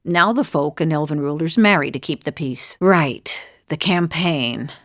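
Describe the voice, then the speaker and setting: Low dry-recorded game voice, a world-worn middle-aged female ex-pirate, wry and guilt-burdened. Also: a little slow, no room sound. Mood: grim, confessional. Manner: wry, confiding, plain-spoken. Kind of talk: video game character dialogue.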